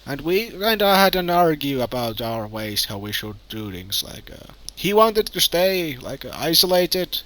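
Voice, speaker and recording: A man chats in character during a survival roleplay. His voice sits a little high. He talks haltingly, restarting sentences and filling gaps with uhs. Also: sing-song, a bit bright, low-quality recording. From Finland. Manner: hesitant, many uhs and restarts. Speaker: roleplay player. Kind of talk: in-character game roleplay chat.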